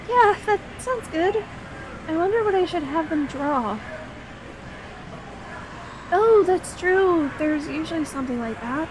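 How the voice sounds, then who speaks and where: Clear park ambience surrounds a high, slow-paced female voice. A woman acts out a sweet, shy young woman in an ASMR roleplay, speaking to her best friend with expressive, hesitant affection. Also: background noise. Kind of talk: ASMR roleplay audio drama.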